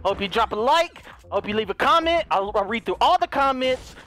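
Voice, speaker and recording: A man hosting a casual gaming video who talks to his viewers and then chats with a teammate during play, his voice strained from recent overuse. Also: a little fast. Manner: energetic, upbeat intro, then low-key gameplay chat.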